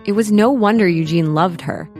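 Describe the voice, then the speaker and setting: A woman's voice: medium pitch, moderate pace, a little mellow, soft background music. A woman narrating a comedic audio drama from a script, in a mock-dramatic storytelling manner.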